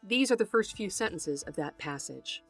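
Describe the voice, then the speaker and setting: A woman's voice: medium pitch, expressive, normal speed, husky, soft background music. A woman hosting an educational podcast, reading a script in an earnest, articulate manner with formal phrasing. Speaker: podcast host and folklore researcher.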